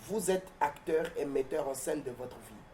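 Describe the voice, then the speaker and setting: Congolese pastor guy, mbembe first language, preachy and emphatic, lots of euh, mellow voice, little bit of hiss. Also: a little high, lively intonation, normal speed. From southern Congo-Brazzaville. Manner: preacherly, declamatory, with many hesitant "euh". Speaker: pastor and guest. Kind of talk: interview answers turning to a prayer.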